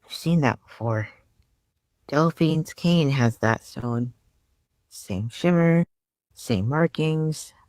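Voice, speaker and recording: A woman's voice: deep voice, lively intonation, slow, pauses often, darkish tone, no echo. A woman voicing a caring, reflective woman in an audiobook drama, thoughtful and tender. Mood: intent.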